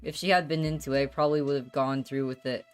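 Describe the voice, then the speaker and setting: A woman's voice: rumbling low, steady tone, fast talker, smooth, soft background music. A woman reading the part of a guilt-ridden, exasperated divorced father in a visual novel, in a confessional, dramatic way. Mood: regretful. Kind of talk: visual novel narration read aloud.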